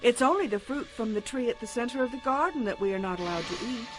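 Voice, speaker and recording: An innocent first woman, voiced by an actress, speaks earnestly and without guile. Her pitch moves in a lively way over clear music, as in a dramatized Bible production. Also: fast talker, natural voice. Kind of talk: dramatized audio Bible acting.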